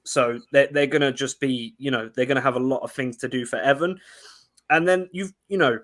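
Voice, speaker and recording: Animated young Englishman talking football. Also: mid-range voice. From England. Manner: fast, animated football breakdown with frequent restarts. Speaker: guest analyst. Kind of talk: casual sports chat.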